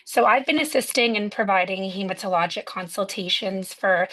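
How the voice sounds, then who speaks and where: A woman doctor introduces the speakers at hospital rounds. She speaks at a middle pitch, in a warm and appreciative way. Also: normal speed, poor audio. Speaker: hematologist, host introducing speakers. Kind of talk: formal introduction at medical rounds.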